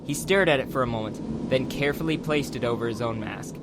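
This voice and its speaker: A man's voice: medium pitch, brisk. A man reading scripted audiobook narration as the narrator of a dramatized story. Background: background music.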